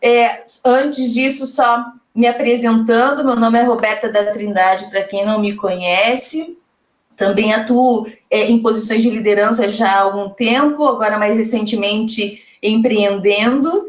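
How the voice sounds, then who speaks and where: A woman's voice: fairly high pitch, fairly even intonation, a little slow. A woman from Brazil moderating a live online panel, chatting and reading audience comments aloud over a video call. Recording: echoey, muffled web call audio.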